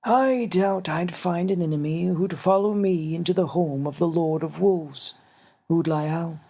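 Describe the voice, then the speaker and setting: Tiny aged fairy gentleman, acted by a male narrator: raised pitch, weary, gentle, deliberate storybook delivery. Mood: wry. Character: tiny old winged fairy man, solemn, gentle, weary.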